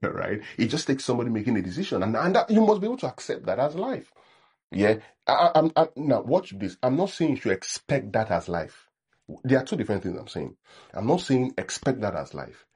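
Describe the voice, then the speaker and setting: A man's voice: mid-range voice, big pitch swings, fairly quick, a little mellow, husky. A male pastor with a Nigerian accent, preaching in a conversational way, often checking in with his listeners and acting out sample prayers. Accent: Nigerian English. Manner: conversational preaching, frequent "right?" check-ins; acts out sample prayers in a mocking tone. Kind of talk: sermon.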